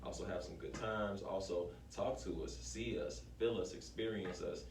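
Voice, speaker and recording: Male interview guest, lowish voice, informal, emphatic, promotional business talk, roomy echo, off-mic. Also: normal speed. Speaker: small business owner being interviewed. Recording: echoey room, distant mic, faint noise.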